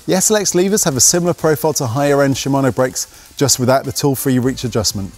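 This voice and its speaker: A young man reads a scripted mountain bike review at a medium pitch. His delivery is brisk, technical and matter-of-fact. Faint music plays quietly underneath his voice.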